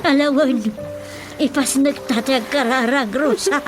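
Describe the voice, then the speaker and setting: Lamenting, high-voiced mother. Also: steady tone, measured pace, music in the background. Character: worried mother lamenting to her daughter Rosa. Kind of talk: radio drama acting. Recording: clear background noise.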